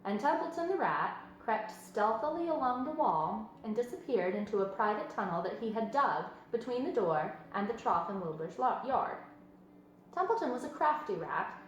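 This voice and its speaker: Woman reading a kids book out loud, animated, very echoey room w faint noise. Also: on the high side. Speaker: storyteller reading a children's book aloud. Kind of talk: expressive scripted narration.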